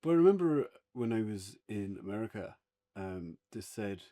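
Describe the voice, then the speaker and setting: A man's voice: on the low side, expressive, moderate pace, dark tone. An Irish man in a casual, unscripted review conversation, speaking as one of the podcast's reviewers.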